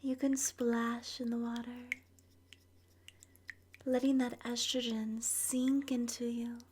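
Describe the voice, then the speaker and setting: A woman softly narrating an ASMR guided meditation in a breathy, whispery voice, gentle and soothing, leaving long pauses. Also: fairly high pitch, level tone, slight background noise.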